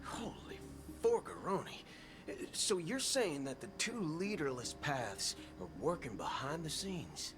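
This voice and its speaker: Expressive, animated male adventurer. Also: fairly high pitch, a little slow. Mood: flustered. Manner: expressive, colloquial. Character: excitable, folksy, concerned male adventurer. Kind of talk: scripted video game voice acting. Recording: loud game music behind.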